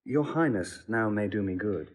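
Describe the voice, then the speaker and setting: Low, husky young lovestruck lord. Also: male voice, animated, normal speed. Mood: lovestruck, earnest. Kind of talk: classical Shakespearean audio drama acting.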